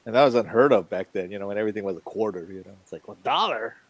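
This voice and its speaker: A middle-aged man in casual, informal conversation, given to animated exclamations. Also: fairly low pitch, rapid-fire, no echo, rough recording. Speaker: podcast co-host. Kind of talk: casual chat.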